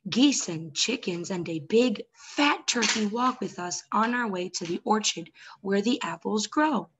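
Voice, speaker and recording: A woman's voice: mid-range voice, unhurried, slight background noise. Young woman reading aloud to small children. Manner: expressive, addressing small children directly. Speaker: children's story time host. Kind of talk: picture-book read-aloud for young children.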